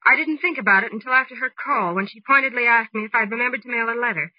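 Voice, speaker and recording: An actress plays a worried young American woman in an old radio detective drama. She talks fast and earnestly, with a pleading edge. The sound is dry and muffled. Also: on the high side, no room sound, lightly acted. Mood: uneasy. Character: anxious young woman seeking a detective's help. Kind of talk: 1940s radio drama acting.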